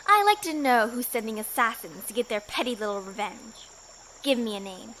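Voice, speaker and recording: Actress as dangerous lady bandit, high bright voice, taunting, theatrical, audio adventure, noisy insect ambience. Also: lively intonation, normal speed. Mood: menacing. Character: haughty, dangerous female bandit and kung fu fighter. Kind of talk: audio drama acting.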